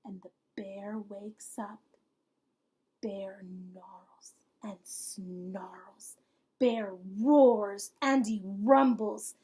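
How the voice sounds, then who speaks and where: A woman reading a picture book aloud as a preschool teacher, expressive and pausing to put questions to young listeners. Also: medium pitch, breathy edge, low-quality recording. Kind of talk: expressive children's storytime read-aloud.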